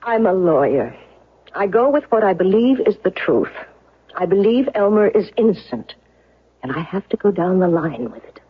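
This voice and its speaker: An actress playing an earnest, probing woman defense lawyer in a radio mystery drama, questioning in an insistent, deliberate way. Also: medium pitch, big pitch swings, husky. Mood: firm, resolute. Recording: dull, lo-fi old radio broadcast.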